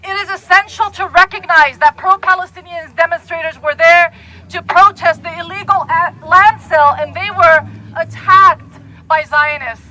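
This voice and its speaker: A woman's voice: very high-pitched, steady tone, normal speed, bright, forceful tone, poor audio. A woman protester reading a firm prepared statement outdoors on a city street. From Puerto Rico. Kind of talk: prepared speech at a rally.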